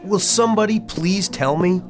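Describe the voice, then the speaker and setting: A man's voice: sits high, normal speed, music in the background, lightly acted. An actor playing an agitated, bewildered man in a radio drama, indignant and urgent, sometimes stammering in confusion. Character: agitated bewildered man trapped on a film set.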